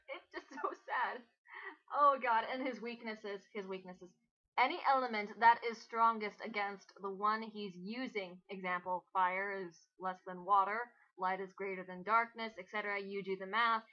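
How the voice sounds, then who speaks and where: A woman's voice: mid-range voice, a little slow. A woman chatting casually and reading aloud with sarcastic, exasperated commentary, recorded on a muffled lo-fi home mic. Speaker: co-host of a mock dramatic reading.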